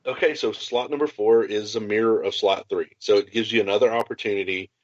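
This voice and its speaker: Male podcast co-host, low voice, enthusiastic gaming explainer, dry muffled recording. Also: steady tone, a little fast, no room sound. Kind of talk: casual tabletop gaming chat.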